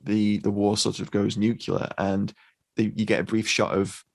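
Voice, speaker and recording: A man with a low, gravelly voice hosts a casual podcast chat. He talks in a loose, hesitant way, with his voice recorded dry and close. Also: monotone, normal speed, no echo. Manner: conversational, hesitant, uses fillers like "you know". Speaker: podcast host.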